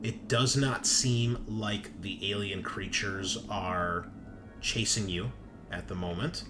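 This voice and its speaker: A man's voice: lowish voice, takes it slowly, over music. A man narrating a tabletop roleplaying game as game master, with casual, off-the-cuff storytelling.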